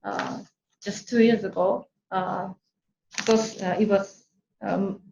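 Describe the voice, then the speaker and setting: A woman's voice: mid-range voice, steady tone, takes it slowly, mellow, muffled recording. A woman from Tokyo with a Japanese accent gives an informal online workshop presentation, hesitantly searching for words in her second language. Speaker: workshop speaker. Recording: roomy echo, clear background noise.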